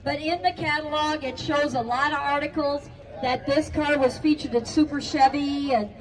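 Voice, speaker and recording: A woman presenting a car at an outdoor estate sale auction, speaking haltingly with many fillers. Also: high-pitched, fairly even intonation. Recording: distant voice with crowd noise.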